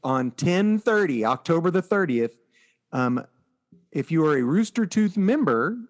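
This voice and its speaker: A man's voice: on the high side, sing-song, measured pace. A middle-aged man with an American accent chatting casually and playfully as a podcast co-host about pop culture.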